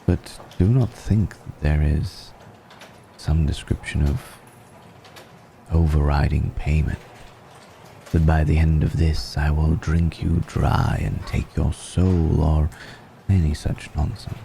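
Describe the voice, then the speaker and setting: A man playing an ancient, suave vampire noble in an intimate ASMR roleplay, speaking formally and deliberately with long pauses in a gravelly voice, seductive and affectionately amused. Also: steady tone, mellow, a little hiss or hum, heavily acted. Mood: seductive, teasing. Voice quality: very deep, gravelly.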